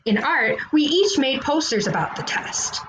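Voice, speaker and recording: Higher-pitched woman reading a storybook aloud. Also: very animated, unhurried, background noise. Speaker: children's read-aloud host. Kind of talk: expressive storybook narration as a child narrator.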